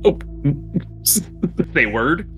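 A man's voice: on the high side, big pitch swings, drawn-out words, bright, forceful tone, lo-fi sound, soft background music. A man playing a tabletop role-playing game, chatting in an informal, slangy way. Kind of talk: casual game chat.

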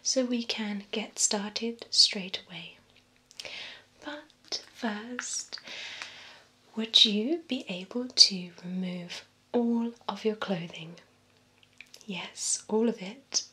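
A young woman performs a calm female vampire beautician in an ASMR roleplay. Her voice is a soft, breathy whisper, very slow and deliberate, with gentle attention to each client. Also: medium pitch. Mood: calm, attentive. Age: young adult. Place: small indoor room with bookshelves.

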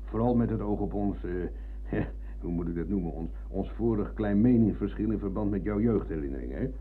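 A man's voice: lowish voice, normal speed, a little hiss or hum, natural voice. An actor playing a rambling, argumentative older ex-soldier in a radio play, hesitating and digressing as he reminisces. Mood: insistent, argumentative. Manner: digressive, hesitant searching, tag questions. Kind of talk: Dutch radio play acting. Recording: muffled phone-like old radio recording.

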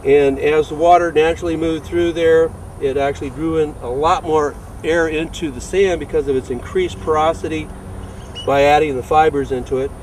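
A man's voice: a little high, steady tone, a little mellow. Male, fifties, hesitant technical explainer, informal, off-mic sound with noticeable background noise. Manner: halting, hesitant delivery. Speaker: sports turf manager. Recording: distant, roomy sound with clear background noise.

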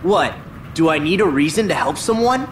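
A man's voice: on the high side, animated, normal speed, lightly acted. A man playing a spirited, teasing teenage boy hero in a video game, with a breezy manner. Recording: echoey, distant, faint noise.

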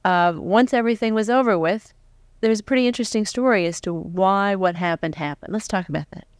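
A woman's voice: mid-range voice, brisk, smooth, no room sound, studio quality. A middle-aged woman speaking conversationally as an interviewer. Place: stage before a live audience. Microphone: large foam-covered microphone on a stand, close.

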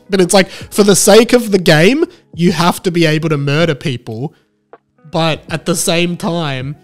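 Man, thirties, slightly high pitch, informal and animated vlog delivery, close handheld mic, background music. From Australia. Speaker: video game vlogger. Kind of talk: casual enthusiastic talk to camera. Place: small room at home, indoors. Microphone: handheld microphone close to the mouth.

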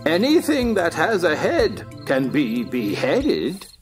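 A woman's voice: low-pitched, huge pitch swings, takes it slowly, darkish tone, faint music behind, exaggerated voice. A woman playing a pompous, easily offended king in an audio play, huffy and fussy. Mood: haughty displeasure.

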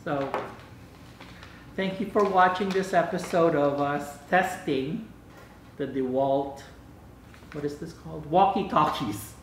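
An older man with a slightly high voice chats casually as he hosts a home gadget test. He is picked up from across a dining room, with plenty of room echo. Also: takes it slowly, pauses often. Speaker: vlog host. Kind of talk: casual chat while testing a gadget. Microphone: camera microphone across the room. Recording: echoey room, distant mic, faint noise.

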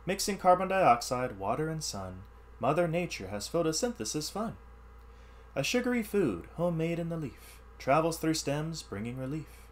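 Animated man reading aloud like a teacher. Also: on the high side, moderate pace, a little hiss or hum. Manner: animated, instructional. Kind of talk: expressive read-aloud of a rhyming science book with explanatory asides.

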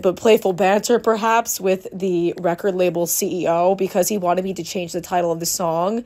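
A woman in her twenties, a singer-songwriter, chatting casually in a voice-over about her unreleased songs, offhand and lightly profane. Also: mid-range voice.

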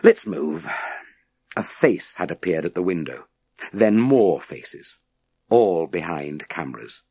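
A man narrates a story with dramatic expression. His voice is a little low and slightly husky. He takes his time over the scripted lines, and the sound is close and dry. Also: sing-song, a little slow, warm tone, dry recording. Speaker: audiobook narrator.

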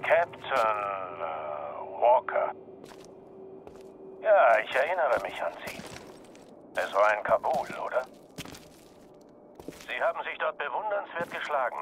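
An actor playing a calm, commanding renegade colonel in a video game, speaking formally and ominously. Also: male voice, medium pitch, slow, pauses often, a little hiss or hum. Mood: calm, sardonic. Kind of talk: dramatic video game acting.

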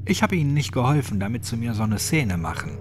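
A man voicing a gruff, crude, sadistic old man with a clipped, mocking way of talking, in a horror audiobook. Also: mid-range voice, big pitch swings, fast talker, music in the background, close to an everyday voice. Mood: threatening.

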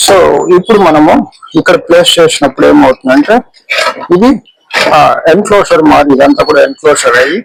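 A man gives an informal, hands-on repair explanation, haltingly and repetitively, mixing in English technical terms. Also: on the high side. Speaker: electronics repair demonstrator. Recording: clear background noise with clicks.

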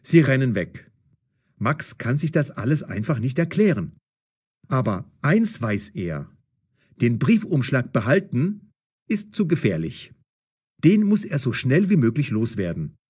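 A male narrator with a husky edge tells a scripted story at a slow pace. His intonation is very lively, and each phrase is deliberately and clearly pronounced. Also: mid-range voice. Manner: deliberate, clearly enunciated, expressive.

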